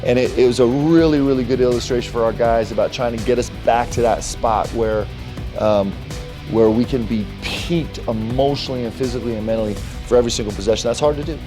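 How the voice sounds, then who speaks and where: A middle-aged man, a basketball coach, reflecting on his team's losses in a halting, thoughtful way with many restarts. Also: mid-range voice, steady tone, music in the background. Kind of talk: post-game interview.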